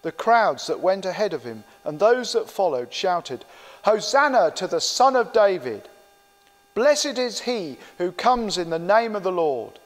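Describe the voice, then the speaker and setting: Man in his sixties, lay Bible reader, animated intonation, highish voice, church reverb. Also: unhurried. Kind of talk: scripture reading aloud. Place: inside a church, standing with a printed sheet.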